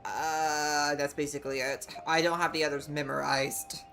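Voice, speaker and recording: A woman giving casual live game commentary as a streamer, thinking aloud in a halting way. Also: low voice, level tone, a bit bright, faint music behind. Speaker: livestreamer playing a game.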